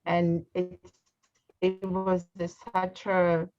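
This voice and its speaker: Low-voiced Rwandan woman, grateful and halting. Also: monotone, highs cut off. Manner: warm, grateful, halting with fillers. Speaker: guest, trip partner. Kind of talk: casual recorded video message.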